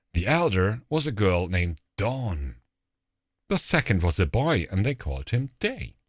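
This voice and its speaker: A man narrating a fairy tale as a storyteller, with deliberate, expressive phrasing. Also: on the low side, slightly rough, dry recording, studio quality.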